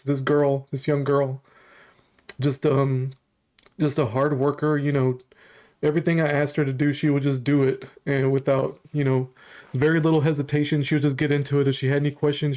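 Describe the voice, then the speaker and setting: A man talks to camera in a loose, rambling way, stopping for ums and restarting his sentences, with a bit of leftover congestion and a slight cough. His pitch stays flat, and his tone is soft and mellow rather than bright. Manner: rambling, halting delivery with frequent ums and restarts, casual swearing. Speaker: commentary channel host. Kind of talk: casual reaction commentary to camera.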